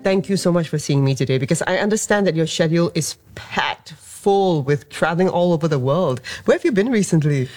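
A woman who hosts the show, narrating from a script and interviewing her guest with warm, enthusiastic reactions. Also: low voice, expressive, a little fast, few pauses, soft background music. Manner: warmly enthusiastic, effusive thanks and reactions. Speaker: host and interviewer.

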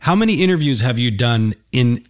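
A man's voice: mid-range voice, big pitch swings, fairly quick. A man speaking as an encouraging, complimentary host, asking simple guiding questions in a conversational broadcast interview. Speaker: host interviewing a young musician.

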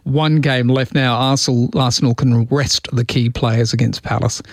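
A man's voice: mid-range voice, steady tone, moderate pace, no echo, studio quality. A man with an Australian accent hosting talkback radio, chatting in a rambling way with wry asides. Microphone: studio microphone.

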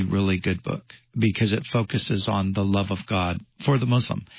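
A man's voice: fairly low pitch, breathy edge, no echo, dull audio. A man giving assertive commentary on a church radio program. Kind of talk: on-air commentary.

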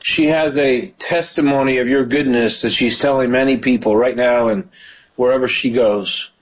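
Male call-in radio pastor, mid-pitched, fervent prayer with frequent hallelujahs. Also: steady tone, normal speed, poor audio. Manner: fervent, praying aloud with repeated hallelujahs. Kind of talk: live call-in prayer broadcast.

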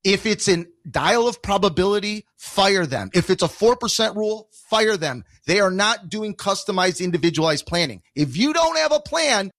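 A high-voiced man with an American accent teaches on a radio program. He is emphatic, hammering points through repetition and rhetorical questions, in a dry studio recording. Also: animated, moderate pace, few pauses, a bit bright, dry recording. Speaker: financial educator and radio show guest. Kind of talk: explanatory radio talk.

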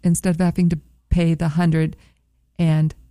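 Low, dark-voiced woman promoting a museum. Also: flat delivery, a little slow, no room sound, clean studio sound. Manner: upbeat, promotional, careful over a foreign word. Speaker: museum staff guest. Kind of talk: radio interview chat.

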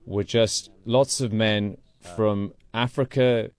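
A man's voice: lowish voice, fairly even intonation, slow pace, darkish tone. A man working as a foreign correspondent, giving a conversational news report.

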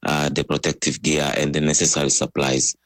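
A male doctor giving a remote news interview, speaking haltingly with many pauses. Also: very deep voice, completely flat delivery, fairly bright tone, dry recording, clean studio sound. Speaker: doctor with an aid group, interviewee. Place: remote link.

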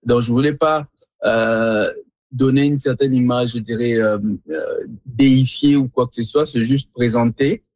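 A man's voice: mid-range voice, steady tone, a little slow, a little mellow, no echo. A man from Congo speaking as an artist in an interview, reflective, searching for his words and repeating himself. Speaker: visual artist being interviewed. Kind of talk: reflective interview answers about own work.

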